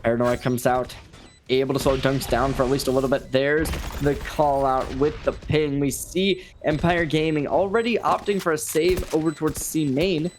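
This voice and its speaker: A man with a slightly high voice delivers live esports play-by-play. Gunshots, clicks and game noise are clearly audible behind him as he follows the match. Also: fairly even intonation, moderate pace. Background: video game gunshots and clicks.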